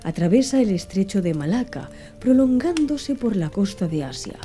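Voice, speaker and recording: A woman narrating an audiobook in a soft, measured ASMR style. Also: medium pitch, big pitch swings. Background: faint music.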